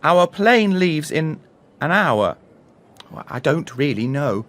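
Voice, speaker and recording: A man reads as a puzzled young girl in a storybook. The voice is lifted in pitch and lively, with a hesitant, questioning temper. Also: takes it slowly, heavily acted.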